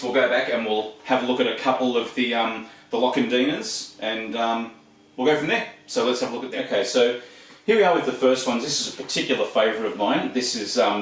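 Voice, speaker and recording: Rambling Australian man in an echoey room. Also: mid-range voice. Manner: rambling, chatty, frequent ums and restarts. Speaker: video host. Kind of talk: casual show-and-tell. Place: room full of shelves and posters. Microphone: camera across the room. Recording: echoey room, distant mic.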